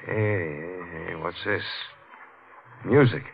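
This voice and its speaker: Low-voiced hardboiled radio detective. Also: male voice, slow pace, lo-fi sound, a little hiss or hum. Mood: brusque. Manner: hardboiled, clipped slang. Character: tough, slangy police detective, narrating his own case. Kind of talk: 1940s radio crime drama acting. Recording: band-limited vintage radio, music behind.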